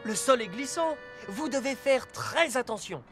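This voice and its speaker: Lively, careful cartoon rescuer. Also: female voice, high voice, unhurried, music in the background. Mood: cautioning. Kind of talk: French children's cartoon dub.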